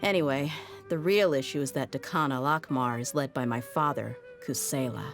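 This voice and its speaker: A woman's voice: rumbling low, normal speed. An actress playing a tough, loyal, world-weary young female mercenary in a video game, speaking frankly and dryly. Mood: grim, dry. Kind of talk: video game character acting. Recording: game music under the voice.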